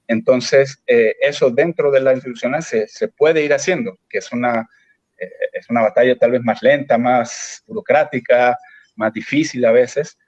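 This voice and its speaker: A Chilean man talks through political ideas in a halting way, with frequent pauses and restarts. His voice sits at an ordinary middle pitch. The sound is dull and lo-fi, with no room echo. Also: a little mellow. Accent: Chilean Spanish. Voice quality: halting, many fillers and restarts. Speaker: guest. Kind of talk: political discussion.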